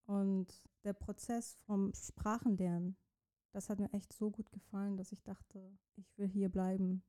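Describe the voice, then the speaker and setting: A woman's voice: medium pitch, level tone, a little slow, warm tone. A young woman from Iran, Persian as her first language, answering interview questions casually and searching for words with many restarts. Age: young adult. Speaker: interview guest, student writer.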